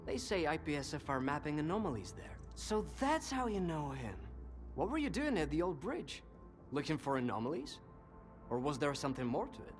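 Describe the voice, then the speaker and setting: A man's voice: fairly high pitch, big pitch swings, brisk, soft background music. An actor playing a cynical, worldly male informant in a video game, talkative and sardonic, with an insinuating conversational manner. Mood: sly, probing. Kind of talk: video game dialogue acting.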